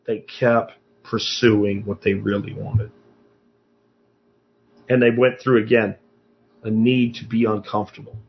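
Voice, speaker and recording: A man's voice: fairly low pitch, level tone, a little slow, pauses often, dull audio, slight background noise. A man in his fifties giving a blunt, profane motivational talk as a podcast host, lecturing his listeners. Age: 50s.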